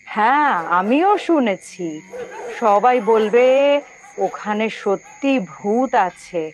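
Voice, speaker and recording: A woman's voice: on the high side, expressive, slow. Woman voicing an earnest girl detective. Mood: ominous. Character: earnest girl detective who warns of ghosts. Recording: noisy night insects and frogs behind. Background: crickets and frogs.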